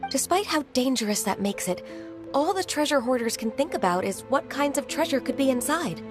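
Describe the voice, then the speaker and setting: A woman playing a gentle, earnest and dutiful young female secretary in an anime-style game, speaking politely and carefully. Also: high voice, expressive, fairly quick. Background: game music.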